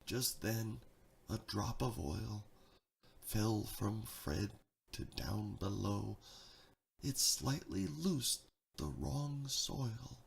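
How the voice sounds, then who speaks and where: A man reading a rhyming story theatrically, swinging between hushed and excited delivery, with a gravelly creak in the voice. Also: lowish voice, drawn-out words. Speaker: narrator, storyteller.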